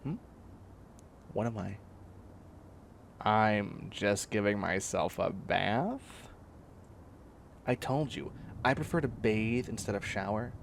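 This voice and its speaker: A man's voice: fairly low pitch, takes it slowly, gravelly, long pauses, slight background noise. A man playing a bossy, clingy young catboy in a comedic roleplay audio drama, whining and demanding in a needy way. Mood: content, defensive.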